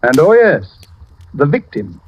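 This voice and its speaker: An actor playing a self-satisfied, shrewd detective with a measured, theatrical manner in an old radio drama. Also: male voice, fairly high pitch, very animated, muffled recording. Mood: assured, precise. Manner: pompous, measured, assured. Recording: noisy old radio recording with clicks.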